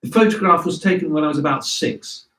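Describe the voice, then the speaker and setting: A man reading a scripted memoir aloud in a measured storytelling way. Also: fairly high pitch, pushed and bright. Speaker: reader of memoirs. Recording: roomy echo, distant microphone.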